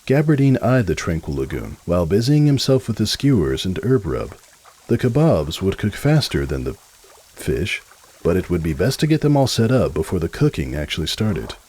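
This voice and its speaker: A man narrating a scripted story as a dramatic reading, with a deep, husky voice and expressive delivery. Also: moderate pace.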